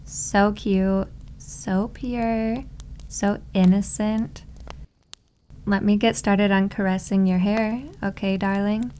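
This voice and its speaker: A woman's voice: mid-range voice, little pitch movement, warm tone, clean tone, lightly acted. A woman playing a doting, possessive yandere maid girlfriend in an ASMR roleplay, with a sugary, cooing way of teasing. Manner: cooing, sugary, drawn-out endearments. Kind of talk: slow ASMR roleplay acting.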